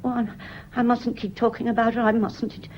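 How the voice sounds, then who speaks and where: A woman's voice: a little high, flat delivery, brisk, darkish tone, slight background noise, lightly acted. An actress playing a devoted, prying woman servant, deferential yet pointed, in a period radio mystery. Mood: mournful, sentimental. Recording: dull, muffled old radio recording.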